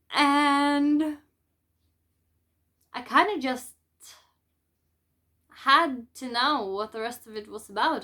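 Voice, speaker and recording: This is a woman with a Norwegian accent, speaking English as a second language after her native Norwegian. Her voice sits somewhat high for a woman. She talks at a markedly unhurried pace, in the informal, chatty style of a book vlogger. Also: pushed and bright. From Norway. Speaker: book vlogger presenting a haul. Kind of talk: casual chat to camera.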